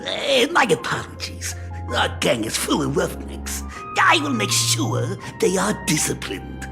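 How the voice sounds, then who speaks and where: A brash female gang leader from a video game. She speaks slowly with heavy creak and huge swings of pitch, over clear background music. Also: huge pitch swings, heavily acted. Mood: apologetic. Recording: music under the voice.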